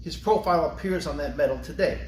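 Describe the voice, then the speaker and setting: A man's voice: a little high, fast talker. An American man giving a solemn, earnest commemorative speech, formally read aloud as a librarian presenting a holiday reading. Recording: echoey room with faint background noise.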